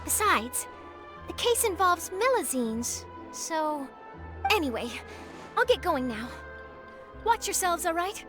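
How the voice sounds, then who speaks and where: A woman's voice: very high voice, sing-song, slow pace, pauses often, exaggerated voice. A woman voicing a spirited young female reporter in a video game, confident and breezy in her delivery. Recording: music behind the voice.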